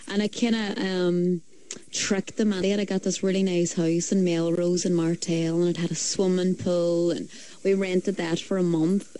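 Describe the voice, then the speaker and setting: A woman's voice: lowish voice, warm tone, rough recording. A woman with a Derry accent, chatting as a singer guest in a radio studio, rambling along with lots of fillers and restarts. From Derry, Northern Ireland. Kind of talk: casual radio interview chat.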